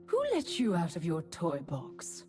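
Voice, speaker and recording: A woman's voice: fairly low pitch, huge pitch swings, unhurried, music in the background. A woman playing an icy, menacing enforcer in a video game, with a condescending, cutting delivery. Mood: disdainful, threatening. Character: icy, haughty adult female enforcer, menacing.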